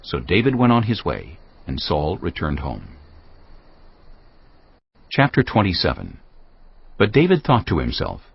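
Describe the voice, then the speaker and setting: Very deep male narrator, expressive. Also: measured pace, gravelly, pauses often, husky, lo-fi sound. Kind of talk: scripted dramatic scripture narration.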